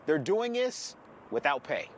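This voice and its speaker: A man's voice: a little high, big pitch swings, unhurried. A man with an American accent delivering a TV news report from outside near an airport. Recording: outdoor traffic and ambient noise.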